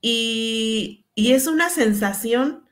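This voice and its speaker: A Mexican woman, a school supervisor, reflecting earnestly in a panel conversation, often pausing and restarting. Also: on the high side. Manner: earnest, many fillers and restarts.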